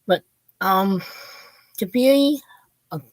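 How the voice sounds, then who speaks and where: A woman's voice: mid-range voice, very slow, no room sound, poor audio. A woman in her late fifties giving a casual, opinionated book talk to viewers, drifting off on tangents in a self-deprecating way. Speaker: book vlogger.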